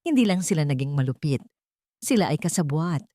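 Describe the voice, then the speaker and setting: A woman with a Filipino accent reading a scripted love story as a narrator, in a dramatic, deliberate way. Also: low voice, very animated, warm tone, no room sound, clean studio sound.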